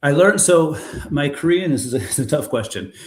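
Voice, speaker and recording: A man's voice: fairly high pitch, brisk, roomy sound. An American man being interviewed, telling stories in a rambling, casual way with frequent restarts. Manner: rambling, restarts often, animated storytelling. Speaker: guest, former bar owner in Korea.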